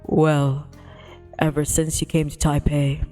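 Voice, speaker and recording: A woman's voice: low-pitched, little pitch movement, takes it slowly, dark tone, soft background music, voice pushed down. A woman voicing a haughty, possessive, big male tiger god from a visual novel, speaking in a formal, dismissive, imperious manner.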